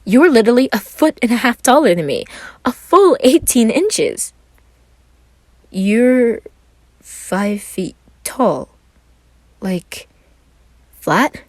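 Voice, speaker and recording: Woman voicing a feisty, dramatic little young man, high-pitched. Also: big pitch swings, takes it slowly, long pauses, no echo, exaggerated voice. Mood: astonished. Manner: emphatic, teasing, exclaiming. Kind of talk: fan fiction audio story acting.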